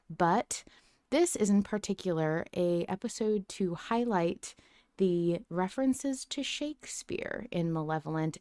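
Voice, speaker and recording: Casual joking woman host, lowish voice, dead dry sound. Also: darkish tone, smooth, dry recording. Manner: playful, casual, swears lightly. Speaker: podcast host. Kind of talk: casual podcast chat.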